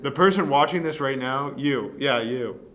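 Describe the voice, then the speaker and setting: A man's voice: mid-range voice, moderate pace, fairly bright tone, a little hiss or hum. A young man hosting a casual podcast with friends, talking in a jokey, slangy way. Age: young adult. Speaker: podcast host.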